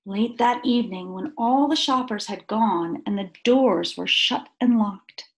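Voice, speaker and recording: A woman reading a picture book aloud to young children, expressively, with deliberate dramatic pauses and emphasis. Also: medium pitch, poor audio.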